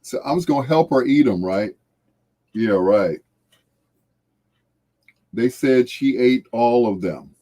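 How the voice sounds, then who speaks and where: An American man, middle-aged or older, hosts a casual livestream in a relaxed, mellow voice. His pitch swings widely as he chats in a folksy, jovial way. He takes his time, stretching out and repeating phrases. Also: slow pace, long pauses. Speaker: livestream host. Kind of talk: casual livestream chat with viewers.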